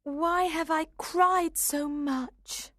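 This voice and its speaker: A woman's voice: very high voice, steady tone, very slow, a bit bright, no echo, studio quality, full character voice. A woman voicing a curious young girl in a storybook, speaking expressively and politely. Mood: dismayed. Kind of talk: storybook character acting.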